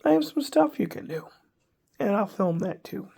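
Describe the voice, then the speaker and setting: Older woman vlogger, congested, gravelly, rambling casual chat. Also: mid-range voice, very animated, normal speed, warm tone. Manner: rambling, chatty, wry asides.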